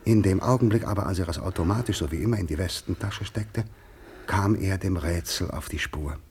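A man narrating a radio play as scripted literary storytelling, in a measured, grave manner. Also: low-pitched, darkish tone, husky.

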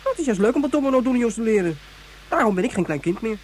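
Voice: medium pitch, very animated, racing, darkish tone, lightly acted. Indignant child in a noisy radio drama played by an actor. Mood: proud, earnest. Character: young child from Ganymede, indignant, proud, easily homesick. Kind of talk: Dutch science-fiction radio play acting.

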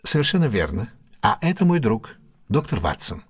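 An actor playing a confident, ironic detective with a husky voice in a Russian audio drama, speaking in a deliberate, theatrical, questioning manner. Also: male voice, mid-range voice, sing-song. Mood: polite, brisk.

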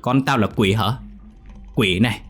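A man's voice: on the low side, animated, a little fast, faint music behind, natural voice. A man voicing a cruel, hot-tempered old landlord, snarling and bellowing threats. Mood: raging. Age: older.

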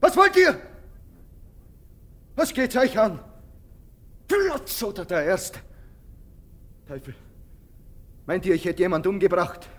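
A man's voice: extremely high pitch, a bit bright, exaggerated voice. An actor playing a haunted, agitated man in a radio drama, erratic and repeating his words defiantly. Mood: defiant rage. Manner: erratic, repeating words, stammering under suspicion. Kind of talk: literary radio drama acting.

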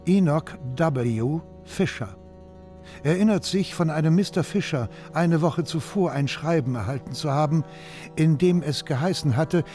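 A man's voice: a little high, dark tone. A man narrating a scripted documentary radio drama as a narrator.

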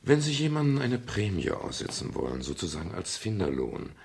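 A sardonic, unflappable private detective in a 1970s crime radio play. His low voice moves in lively swings as he needles and challenges with mock politeness. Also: brisk, creaky, noisy background, full character voice. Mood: cool, needling. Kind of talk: 1970s radio drama dialogue.

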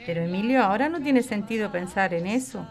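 A woman's voice: medium pitch, animated, brisk, faint music behind, lightly acted. An actress as a frank, affectionate older Spanish woman. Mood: fond, frank. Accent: Spain. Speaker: actor in an audio drama. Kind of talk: podcast radio drama acting, conversational.

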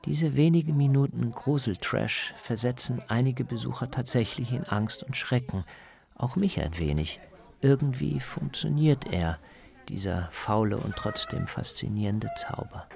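A man reading scripted first-person documentary narration in a measured, descriptive storytelling manner. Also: mid-range voice, animated, gravelly, a little mellow. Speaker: radio feature author and narrator.

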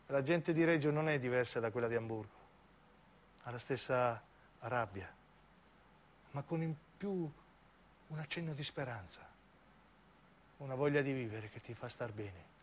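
A man's voice: mid-range voice, measured pace, pauses often, slight background noise. A man narrating a dramatic scripted monologue for radio theatre, with emphatic, theatrical phrasing. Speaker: narrating stage actor.

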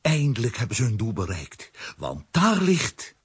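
A man narrating a children's adventure audio drama in a theatrical storytelling manner, with a husky, rough voice. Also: medium pitch, wildly swooping pitch, unhurried, exaggerated voice.

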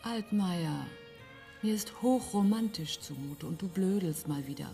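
An actress playing a sardonic, worldly smuggler's wife in a German radio play, with a husky, breathy voice. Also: female voice, fairly low pitch, expressive, measured pace, warm tone, soft background music. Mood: romantic, reproachful.

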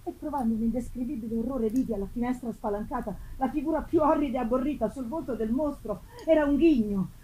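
A woman giving an intense, halting dramatic reading of a gothic novel, repeating words for effect and swinging between hushed and loud delivery. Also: fairly high pitch, rough recording. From Italy. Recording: live festival recording with faint noise. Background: live musical accompaniment.

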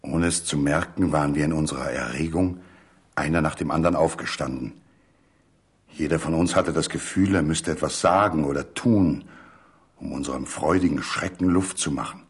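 A man reading a literary story as a scripted dramatic narration, measured and full of suspense. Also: rumbling low, breathy edge.

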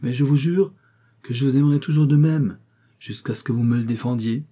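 A man's voice: mid-range voice, fairly quick, dark tone, breathy edge, voice pushed up, lightly acted. A man doing a gushing lovesick suitor. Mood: gushing, pleading. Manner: gushing, theatrical declarations. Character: fawning young male suitor, overly sentimental.

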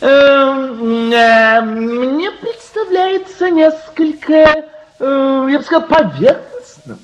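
An actor playing a flustered, courteous delegate who hems and hesitates formally in a radio play. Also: male voice, very high-pitched, slow pace, muffled recording. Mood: hesitant, courteous. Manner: hesitant, hemming, formal and polite. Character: flustered, courteous male Dutch delegate at a conference.

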